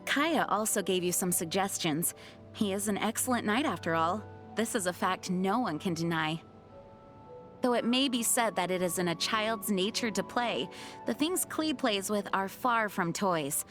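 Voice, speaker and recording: A woman's voice: mid-range voice, expressive, moderate pace, a bit bright, soft background music, close to an everyday voice. A woman playing a dutiful, earnest young knight in a video game, speaking formally and with composure. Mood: warm, reflective. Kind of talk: video game character voice lines.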